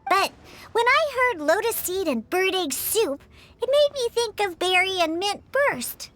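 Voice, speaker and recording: A woman's voice: very high-pitched, big pitch swings, unhurried, fairly bright tone, faint music behind, heavily acted. A woman playing a small, candid young girl in a game, puzzling things out in a childlike way. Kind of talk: scripted game dialogue.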